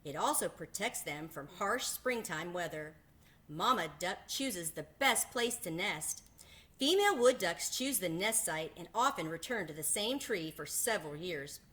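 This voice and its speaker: A woman's voice: fairly low pitch, expressive, unhurried, fairly bright tone. A woman reading a children's book aloud, as a teacher would to a class. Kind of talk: children's storybook read-aloud.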